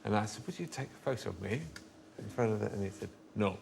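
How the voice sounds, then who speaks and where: A man's voice: on the low side, lively intonation, fast, gravelly, darkish tone. A man telling comic anecdotes and bantering as a panel guest in a wry, mock-earnest way. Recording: very noisy TV studio.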